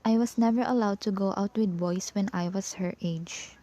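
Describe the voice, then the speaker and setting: Very mellow, disapproving older sister played by a woman. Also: mid-range voice, fairly even intonation, moderate pace, no room sound, close to an everyday voice. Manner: disapproving, superior. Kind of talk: amateur English radio play acting.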